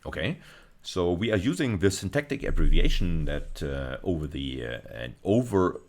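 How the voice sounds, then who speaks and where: A man with an exceptionally deep voice delivers an instructional lecture. He is deliberate and emphatic, often repeating a phrase or rewording it as he explains. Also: big pitch swings, a little mellow. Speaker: university lecturer.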